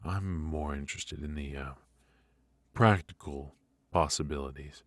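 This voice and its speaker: A man playing a menacing, teasing mafia boss in an intimate ASMR roleplay, speaking with deliberate pauses and mock politeness. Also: extremely low pitch, fairly bright tone, no room sound. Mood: probing, unhurried. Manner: slow, deliberate, mockingly polite with drawn-out pauses.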